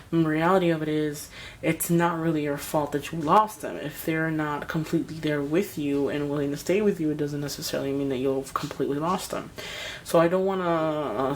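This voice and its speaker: A woman with a scratchy, hoarse voice giving a tarot reading, talking straight to her viewers in a hesitant, rambling way. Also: deep voice. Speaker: tarot reader.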